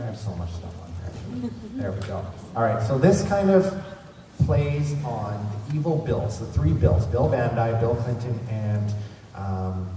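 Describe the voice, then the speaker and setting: A man's voice: lowish voice, warm tone. Male panel host, rambling fan enthusiasm, caught at a distance in a noisy, very reverberant hall. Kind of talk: informal fan convention presentation. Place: large convention centre room.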